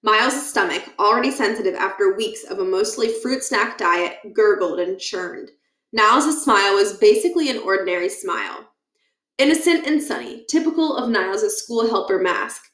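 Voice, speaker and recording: A woman reading a children's book aloud as expressive narration, with casual explanatory asides. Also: mid-range voice, moderate pace. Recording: echoey room.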